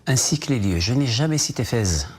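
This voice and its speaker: A man's voice: medium pitch, animated, fairly quick, breathy edge. A man from Morocco, a novelist, answering questions in a TV news studio, hesitant with many fillers and restarts. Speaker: novelist guest. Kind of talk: TV news interview.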